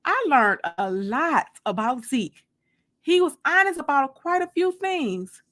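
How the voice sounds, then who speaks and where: A woman narrates fiction in a dramatic reading style, as an author reading her own book. Her voice sits a little high. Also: very animated, a little slow, fairly bright tone.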